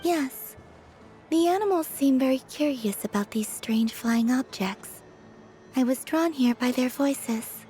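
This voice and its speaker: A serene young goddess from a video game. Her voice is fairly high yet soft-toned and airy, polite with a quiet playfulness. Music plays faintly behind. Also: measured pace. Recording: game audio with background music.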